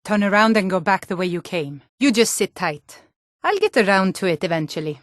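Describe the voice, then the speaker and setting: A woman's voice: mid-range voice, very animated, fairly quick, no echo, studio quality, lightly acted. An actress playing a stern, world-weary female Nord guard in a fantasy video game, speaking in a blunt, matter-of-fact way. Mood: curt, dismissive.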